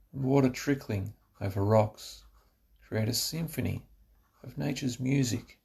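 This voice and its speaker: A man narrating a scripted guided relaxation, giving slow, instructive phrases with pauses. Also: fairly low pitch, gravelly, dark tone.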